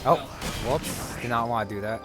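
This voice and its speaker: A man giving casual, unhurried gameplay commentary, muttering his reactions as he plays. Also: medium pitch, expressive. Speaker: gamer, let's player. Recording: game music and battle sounds behind. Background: loud video game music, crashes.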